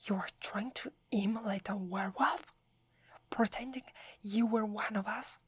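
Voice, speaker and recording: A woman's voice: mid-range voice, breathy edge, no room sound. Woman whispering as a proud teen werewolf girl. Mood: disdainful. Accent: Italian. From Italy. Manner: whispering, haughty and scolding. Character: prickly sixteen-year-old female werewolf, proud and wary. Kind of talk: whispered ASMR roleplay.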